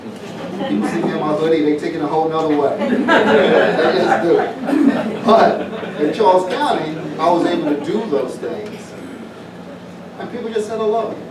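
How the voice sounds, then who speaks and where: A man's voice: on the high side, animated, unhurried, long pauses, over a noisy crowd. A middle-aged man from southwest Virginia, giving a plainspoken, informal speech to an audience at an evening community event. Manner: folksy, plainspoken, repeats phrases. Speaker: former pro football player announcing a festival. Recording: roomy, distant mic, noisy event.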